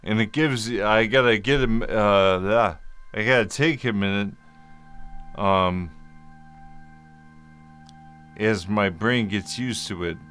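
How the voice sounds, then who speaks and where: A man giving an informal explainer as a vlogger, speaking in a halting, deliberate way with long hesitations and at times mildly slurred speech. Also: lowish voice, a bit bright, smooth, soft background music. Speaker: vlogger sharing a personal medical journey. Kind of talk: informal explainer, part reading notes, part ad-libbed.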